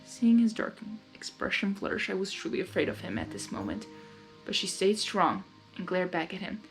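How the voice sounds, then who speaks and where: A woman reading fan fiction aloud, mixing expressive story narration with casual spoken reactions. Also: mid-range voice, moderate pace, poor audio. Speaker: fan fiction reader and commentator. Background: faint music.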